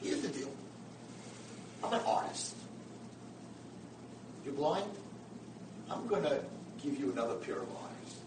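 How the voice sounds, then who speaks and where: A man's voice: mid-range voice, expressive, slow pace, long pauses. A man playing a kindly, happy-go-lucky, talkative old nursing-home resident in a spoken story, earnest and persistent. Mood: earnest, pleading. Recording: roomy echo, distant mic, some background noise.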